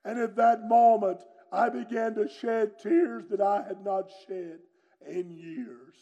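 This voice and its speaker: A middle-aged preacher with a booming, forceful way of talking. His voice sits high for a man but has a mellow, warm tone. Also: fairly even intonation, takes it slowly, lo-fi sound. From North Carolina, USA. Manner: booming, loud and strong delivery. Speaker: pastor. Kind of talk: sermon with personal testimony.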